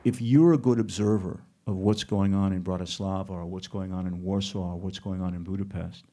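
A man from the United States gives film students earnest, emphatic advice. His voice is somewhat low and mellow. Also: steady tone, brisk, a little hiss or hum.

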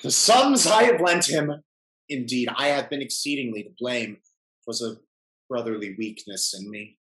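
Man acting a pompous, smooth hypocritical gentleman. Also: mid-range voice, animated, moderate pace, lightly acted, poor audio. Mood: unctuous, regretful. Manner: pompous, unctuous, formal. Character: smooth hypocritical English gentleman, falsely courteous, secretly grasping. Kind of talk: theatrical period comedy acting. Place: at home on a video call.

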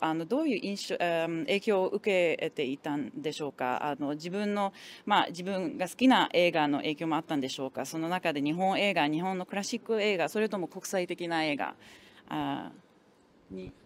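Hesitant woman interpreting. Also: on the low side. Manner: hesitant, full of fillers. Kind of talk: interpreting between Japanese and English. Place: small indoor room at a film festival.

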